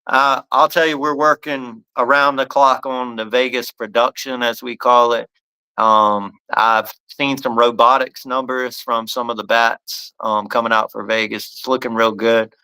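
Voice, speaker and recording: Bright, clear-voiced man hosting a live chat. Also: mid-range voice, steady tone, measured pace, low-quality recording. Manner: laughs often, easygoing and upbeat. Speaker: host. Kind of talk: casual live-stream chat.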